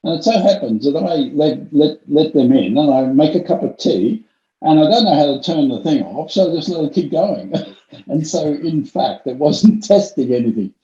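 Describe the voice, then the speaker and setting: A vision scientist telling a story in a conversational interview, with frequent ums and restarts. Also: male voice, on the high side, lively intonation, normal speed, warm tone, reverberant, lo-fi sound.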